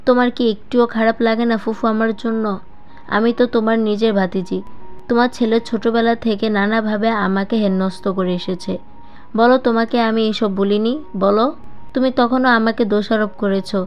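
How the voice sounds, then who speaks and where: A woman voicing a wronged young niece who speaks with calm, firm reproach in an audio story. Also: a little high, moderate pace, clear, resonant tone, dull audio, faint music behind, lightly acted.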